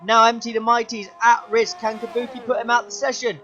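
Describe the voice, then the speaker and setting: A young man commentating his own sim race, his voice going hoarse, excitable and shouting at the big moments. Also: very high-pitched, brisk, dull audio, slight background noise. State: losing his voice. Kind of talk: gaming commentary.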